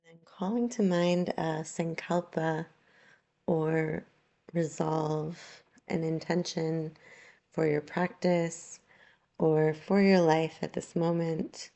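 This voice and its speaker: A woman leads a guided relaxation as a yoga teacher. Her voice is low and very slow, with a soft, mellow tone. She speaks gently and leaves long pauses between phrases. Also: gravelly. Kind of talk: guided meditation, slow soothing instruction.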